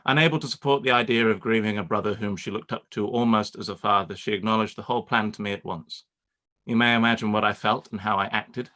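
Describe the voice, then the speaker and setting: An actor playing a proud, reserved young gentleman, speaking formally and with measured gravity in a live-read radio play. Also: on the low side. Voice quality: formal, measured, stiffly polite.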